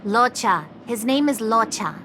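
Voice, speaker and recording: An actress playing an earnest, by-the-book young junior officer in a video game, literal and precise. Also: female voice, a little high, animated, a little slow, background noise. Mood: matter-of-fact. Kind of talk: video game character acting.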